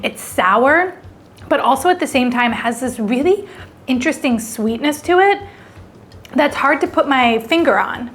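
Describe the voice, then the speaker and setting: A woman hosting a food vlog, chatting and thinking aloud as she tastes each dish. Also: high-pitched. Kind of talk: casual food tasting vlog.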